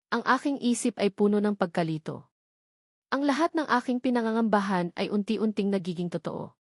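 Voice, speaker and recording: Filipino lady narrating a love story drama, solemn and measured, mid pitch, really dry sound. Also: a little mellow, no room sound. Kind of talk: scripted dramatic first-person story narration.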